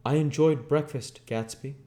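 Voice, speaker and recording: An earnest young gentleman from a storybook, acted out by a male reader, calls out in a raised, higher voice. His delivery is loud and emphatic, as novel dialogue read aloud. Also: moderate pace, close to an everyday voice. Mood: calling out. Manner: emphatic, calling out. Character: earnest young male narrator character calling out to friend.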